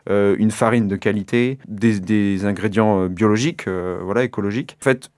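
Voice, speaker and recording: A man's voice: on the low side, steady tone, normal speed, darkish tone, dry recording, studio quality. A man in his late twenties from western France, telling his own story as an interview guest in informal, colloquial speech. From Maine-et-Loire, western France. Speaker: interview guest, artisan baker.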